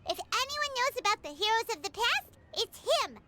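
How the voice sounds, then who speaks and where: A woman's voice: very high voice, sing-song, moderate pace, heavy background noise. A woman voicing a tiny, perky, childlike fairy companion in a video game, chirpy and inquisitive. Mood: curious. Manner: chirpy, refers to self by name, inquisitive.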